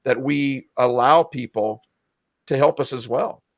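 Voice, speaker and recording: A man's voice: medium pitch, measured pace, dry recording. Earnest, emotional male podcast co-host. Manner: earnest, emotional, self-described feeler. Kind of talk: casual video-call chat.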